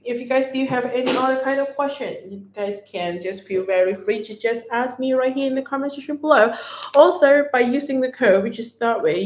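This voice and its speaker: A woman with a Thai accent hosting a beauty livestream, chatting casually as she demonstrates products and pitches discounts. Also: a little hiss or hum. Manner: chatty, keeps repeating "right here", upbeat sales talk. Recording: echoey room.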